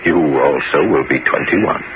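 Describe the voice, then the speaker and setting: An actor playing an aging bishop and former musketeer in a 1970s radio drama, grave and resolute, with theatrical, deliberate, emphatic phrasing. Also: male voice, rumbling low. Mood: conspiratorial, resolute. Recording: muffled, noisy 1970s radio broadcast recording.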